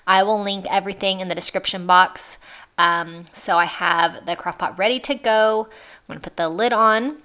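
A woman in her thirties talks through a budget cooking demonstration from her home kitchen. She is chatty and upbeat, filling pauses with little ums, at a middle pitch for a woman. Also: a bit bright. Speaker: cooking vlogger.

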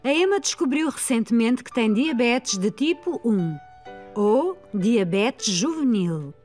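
A woman's voice: a little high, animated, slow, darkish tone, faint music behind. A woman voicing a kind, patient preschool teacher in a children's cartoon dub, with a European Portuguese accent. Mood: explaining.

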